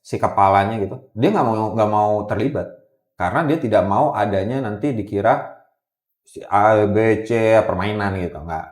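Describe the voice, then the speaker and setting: A man's voice: on the low side, expressive, moderate pace. Echoey man chatting on a podcast. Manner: repeats words and phrases for emphasis. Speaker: podcast guest, gemstone trader. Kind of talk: casual podcast conversation. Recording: roomy, echoey podcast recording.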